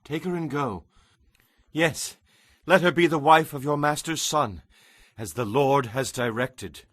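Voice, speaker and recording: A man's voice: on the high side, measured pace, fairly bright tone, no room sound, studio quality. A man playing a courteous, protective kinsman of a bride in a dramatized audio Bible, with formal delivery. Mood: resolved.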